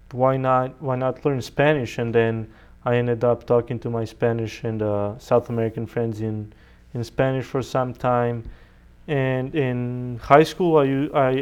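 Clear-voiced young man, native Serbian speaker. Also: medium pitch, a little mellow. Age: young adult. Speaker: interview guest, college student. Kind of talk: conversational interview answers.

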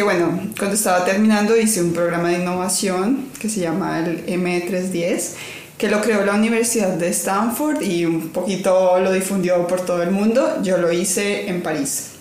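A young woman speaks in a slightly low voice. She is reflective and easygoing, often pausing to find her words. The sound carries a noticeable room echo. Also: a little mellow. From Colombia. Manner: thoughtful, many fillers and restarts, laughs easily. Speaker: guest, designer. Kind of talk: conversational interview.

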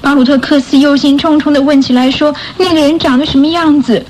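An actress plays a repentant young orphan girl in a radio drama. Her female voice is high, timid and hesitant, with an earnest, pleading manner. Faint noise sits behind her. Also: steady tone, fast talker, a little mellow, natural voice, low-quality recording. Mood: explaining.

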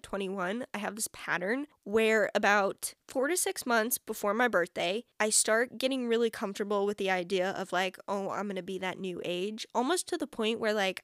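A woman in her twenties talks as a solo podcast host in a chatty, informal way. Her voice sits at a middle pitch for a woman and peppers sentences with "like". The sound is dry, with hardly any room echo. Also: fairly bright tone. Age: 20s. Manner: chatty, informal, heavy use of "like". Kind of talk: casual solo podcast chat.

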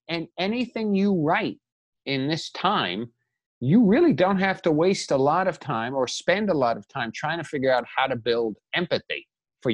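A man's voice: on the high side, dry recording. A man co-hosting a casual talk about writing, rambling emphatically and acting out imagined thoughts as he encourages the listener. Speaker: podcast co-host and writer. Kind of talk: animated casual talk, motivational riffing on writing.

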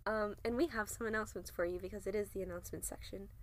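A woman's voice: mid-range voice, fairly even intonation, fast talker. A young woman co-hosting and narrating a student podcast in a casual, playful style. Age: young adult. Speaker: co-host and narrator.

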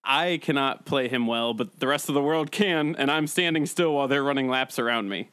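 A man's voice: medium pitch, a little fast, a bit bright, dry recording. A man hosting a casual, joking podcast panel. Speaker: podcast host.

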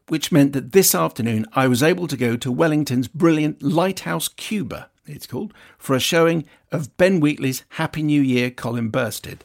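Creaky-voiced male radio presenter. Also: a little high. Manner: wry, conversational asides. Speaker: radio film show host. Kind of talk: chatty presenting, reading listener emails aloud.

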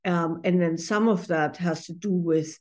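Thoughtful woman from Austria, lowish voice. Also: fairly even intonation, unhurried, a little mellow. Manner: thoughtful, many fillers, laughs easily. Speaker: intimacy therapist and author. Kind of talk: reflective interview answers.

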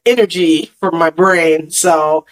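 A man's voice: a little high, fairly even intonation, slow pace, low-quality recording. A man hosting a podcast in casual chat, easygoing and agreeable, laughing often. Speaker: podcast host.

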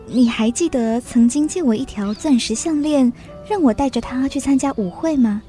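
A woman plays an earnest society lady in a literary radio drama. Her high voice is theatrical and expressive, over faint music. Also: moderate pace, close to an everyday voice. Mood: earnest, reflective.

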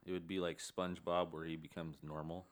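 A man's voice: low voice, little pitch movement, brisk, slight background noise. A man in casual podcast banter, teasing a friend with dry chuckles.

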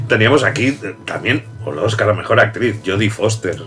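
Spanish guy hosting a film chat, lowish voice, laughs a lot, trips over his words. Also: animated, a little fast, a bit bright. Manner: chatty, laughs often, restarts a lot. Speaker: host. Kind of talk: casual chat about films.

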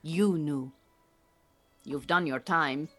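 Calm dry beekeeper woman from iran, lowish voice, formal wording, audio drama, music under it. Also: heavily acted. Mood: dry, fond. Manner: measured, dry, formal phrasing.

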